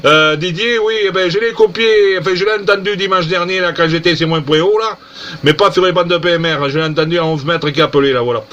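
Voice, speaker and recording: A man's voice: high voice, fast, a bit bright, slight background noise. A man chatting casually as an amateur radio operator, amazed and repeating himself. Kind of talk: casual CB-style radio contact. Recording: dull lo-fi radio contact recording.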